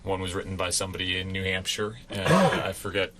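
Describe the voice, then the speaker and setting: A man with a very low yet bright, forward voice talks as a radio interview guest. His delivery is conversational and rambling, with some noise behind him. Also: fast. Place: college radio station.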